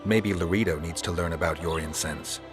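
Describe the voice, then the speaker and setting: An actor playing a gruff, guarded monster hunter in a video game, terse and dry. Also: male voice, low voice, normal speed, lightly acted. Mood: businesslike. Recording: game music behind.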